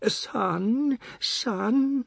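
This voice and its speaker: A man's voice: fairly high pitch, wildly swooping pitch, slow, a little mellow, husky, no room sound, studio quality, pitched above the performer's own voice, heavily acted. A man voicing a stern old matriarch in a story, firm, scornful and cutting. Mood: wailing.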